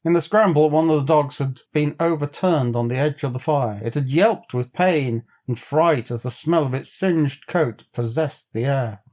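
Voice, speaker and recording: A man's voice: a little high, moderate pace, hardly any pauses, a little mellow. Man narrating a story, slightly dull recording. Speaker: storyteller, actor. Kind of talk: dramatic audiobook narration.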